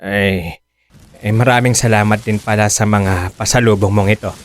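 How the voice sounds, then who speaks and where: A man's voice: on the low side, steady tone, normal speed, lightly acted. An actor playing an apologetic, mild-mannered older Filipino father in a radio drama, hesitant and pleading. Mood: grateful, sheepish.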